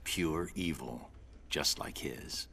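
An actor playing a grim, stern superhero vigilante in a video game, curt and commanding. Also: male voice, extremely low pitch, slow, vocal fry, pushed and bright, a little hiss or hum, heavily acted. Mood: stern, suspicious. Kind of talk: animated video game acting.

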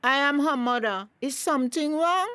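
A woman's voice: high-pitched, normal speed, pushed and bright, dry recording. An actress playing a respectable, protective middle-aged mother in a radio drama, earnest and proper, with a Tobagonian accent. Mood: protective, worried.

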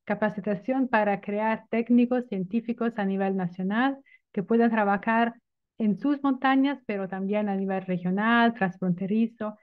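A middle-aged woman with an Italian accent, Italian her native language, speaking at an online event, lively and informal, searching for words. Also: medium pitch, normal speed. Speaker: invited speaker. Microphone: video call. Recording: tinny phone-like audio.

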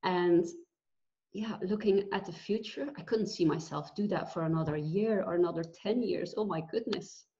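A woman from Belgium in her forties, a guest telling her story with pauses and fillers over a video call from home. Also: on the low side, warm tone. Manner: earnest, reflective, with many pauses and fillers. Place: bright room at home. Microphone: video call webcam. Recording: dull lo-fi video call audio.

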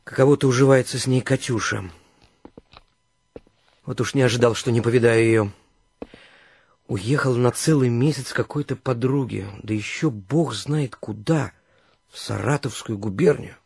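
A man's voice: medium pitch, normal speed, gravelly, pauses often, darkish tone, breathy edge, no echo. An actor playing a pensive, world-weary man back home after years away, musing unhurriedly in a radio drama. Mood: tender, wistful. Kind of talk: radio drama inner monologue.

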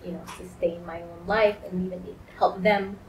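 Animated woman from Guyana, lapel mic. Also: on the low side, normal speed, slight background noise. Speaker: interview guest. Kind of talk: conversational interview storytelling. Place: indoors against a pale wall.

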